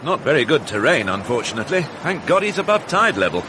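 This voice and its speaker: An actor playing a wry, courteous British police inspector with probing questions in a radio mystery drama. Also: male voice, mid-range voice, big pitch swings, fast, background noise, natural voice. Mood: dryly curious. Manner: courteous, dryly ironic, probing.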